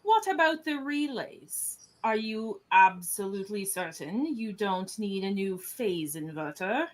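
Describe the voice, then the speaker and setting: A woman playing a fussy, eager starship captain in a radio play, speaking formally and deliberately. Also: medium pitch, animated, natural voice. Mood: impatient.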